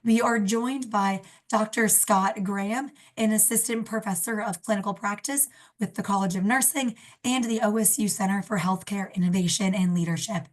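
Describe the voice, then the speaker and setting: A young woman with a cold and a slightly raspy voice, hosting a webinar and reading announcements on a video call. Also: mid-range voice, fairly even intonation, normal speed. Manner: friendly, scripted announcements. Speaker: program coordinator, webinar host. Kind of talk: webinar introduction. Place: indoor room, video call.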